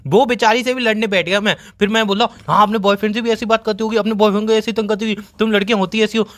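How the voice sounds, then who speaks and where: Fast, high-voiced exasperated young boyfriend played by a man. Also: steady tone, fairly bright tone. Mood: exasperated complaining. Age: 20s. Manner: rambling, complaining, dramatic storytelling. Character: exasperated, teasing young boyfriend bickering with his girlfriend. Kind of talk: acted phone-call comedy between lovers.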